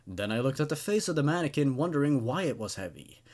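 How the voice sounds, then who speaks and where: A man's voice: medium pitch, sing-song, fast, darkish tone. A man hosting a horror story review show, commenting and reading aloud in a hesitant way, often repeating words as he thinks. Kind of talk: rambling commentary and reading of amateur horror stories.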